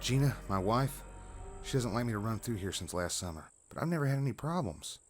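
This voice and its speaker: A man plays a shaken male jogger of about forty in a horror tale. He speaks higher, breathless and pleading, in halting phrases. Music sits behind him. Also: lively intonation, lightly acted. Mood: uneasy, grateful. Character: frightened, winded male jogger around forty.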